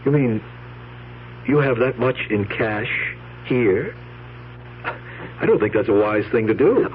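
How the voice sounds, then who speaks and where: An actor playing a weary, firm, practical nephew in an old-time radio drama. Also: male voice, medium pitch, takes it slowly, natural voice. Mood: practical, solicitous. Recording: tinny, noisy old radio recording with hum.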